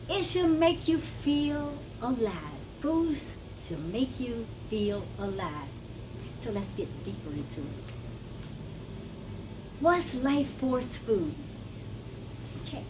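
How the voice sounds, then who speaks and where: A woman with a Brazilian accent, talking to viewers in a casual, emphatic teaching style, repeating words for stress. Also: high voice. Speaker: wellness vlogger. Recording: noticeable background noise.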